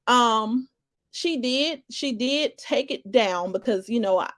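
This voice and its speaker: A woman's voice: fairly high pitch, animated, slow pace, dry recording, studio quality. A woman giving casual live commentary, greeting viewers and rambling through restarts and fillers. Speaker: livestream commentator.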